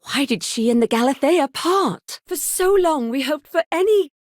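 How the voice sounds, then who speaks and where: A wonderstruck, courteous female scientist from a science fiction game. Her voice sits on the high side and her phrasing is formal and old-fashioned, earnest throughout. The sound is very dry, with no room around it. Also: lively intonation, normal speed, no room sound. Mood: wondering. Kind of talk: scripted video game dialogue.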